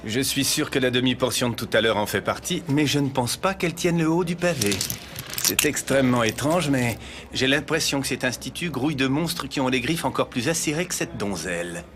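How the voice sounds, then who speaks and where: A man voices a sly, easygoing male fighter who speaks smoothly and teasingly. His pitch sits in an ordinary middle range. Background noise runs under this anime dub. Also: expressive, a little fast, lightly acted. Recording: noisy background.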